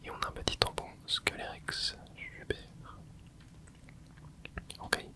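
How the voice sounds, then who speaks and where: A young man hosting an ASMR channel, softly whispering a relaxed running commentary as he plays. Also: slow pace. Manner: soft close whisper, relaxed running reactions. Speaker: ASMR gaming channel host.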